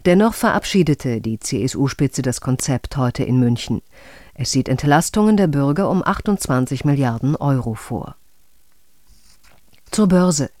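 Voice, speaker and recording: A newsreader reading a formal radio news bulletin in a measured, even voice. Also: male voice, mid-range voice, no room sound, studio quality.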